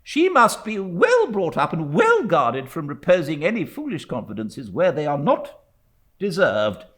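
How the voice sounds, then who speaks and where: A man's voice: on the high side, wildly swooping pitch, unhurried, a bit bright, voice pushed up, exaggerated voice. A man voicing a stern, domineering elderly aunt in a storybook reading, with a commanding, clipped and indignant manner. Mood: imperious.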